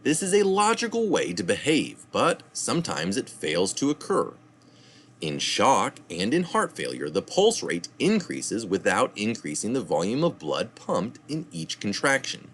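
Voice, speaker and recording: Man, low voice, very lively pitch, reads an article aloud with small asides. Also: a little hiss or hum. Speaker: reader of health articles.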